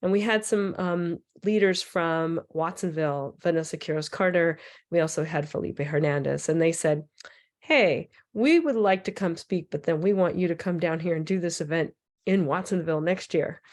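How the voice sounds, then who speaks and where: A woman speaks in a somewhat low, raspy voice, hoarse from a cold. She gives an informal presentation in a loose, rambling way with plenty of fillers and restarts. She is heard through a computer mic on a video call. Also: normal speed, darkish tone. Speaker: transit equity advocate presenter.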